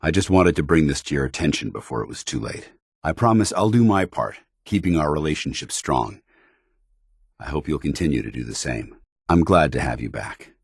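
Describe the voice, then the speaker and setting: Gruff synthetic soldier, extremely low voice, husky, stern yet vulnerable, measured formal delivery, game companion dialogue. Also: male voice, expressive, no room sound, clean studio sound, exaggerated voice. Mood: earnest, regretful. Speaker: video game voice actor. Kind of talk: video game companion dialogue, dramatic acting.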